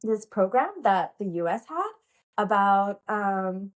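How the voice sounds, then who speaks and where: A woman's voice: mid-range voice, lively intonation, slow pace. Bubbly giggly woman, heavy fillers. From United States. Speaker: guest, pole dance instructor and playwright. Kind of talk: casual interview chat.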